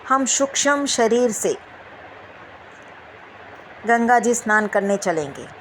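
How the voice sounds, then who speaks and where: A woman leading a guided meditation, giving gentle instructions with repeated phrases and long pauses. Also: on the high side, lively intonation, slight background noise, rough recording.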